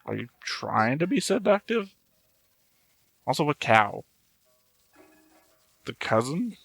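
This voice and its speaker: A man's voice: mid-range voice, very animated, slow pace, creaky, fairly bright tone, slightly rough, dry recording, soft background music. A man giving casual off-the-cuff commentary as a reaction host, with a dry, analytical way of talking.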